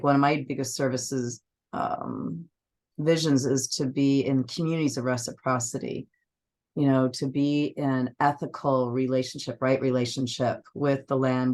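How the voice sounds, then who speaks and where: A hoarse participant on a group call, losing their voice after a cough, speaking earnestly and clearing their throat often. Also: mid-range voice.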